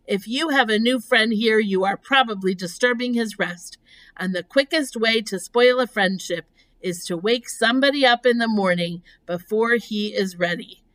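A woman's voice: on the high side, moderate pace, fairly bright tone, close to an everyday voice, rough recording. A woman voicing a stern old sheep who scolds and reproves in a storybook. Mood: stern, annoyed. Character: oldest sheep, stern, disgusted, scolding elder.

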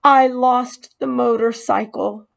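A woman's voice: a little high, steady tone, slow pace, gravelly, pitched above the performer's own voice. A woman reading aloud and voicing a small, anxious young male mouse in a storybook, earnest and apologetic. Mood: ashamed.

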